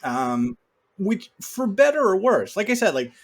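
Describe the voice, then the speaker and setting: A man's voice: high voice, very animated, a little slow. A man co-hosting a podcast, casually discussing film and working through his points in an explanatory way. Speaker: podcast co-host.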